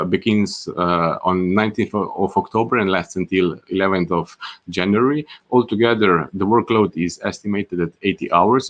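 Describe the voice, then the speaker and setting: A man with a fairly low voice hosts an online webinar. He talks haltingly, often pausing on "uh" and restarting words as he presents the course and its speakers. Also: a bit bright. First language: not Greek. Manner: hesitant, many "uh" fillers and restarts. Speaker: webinar moderator.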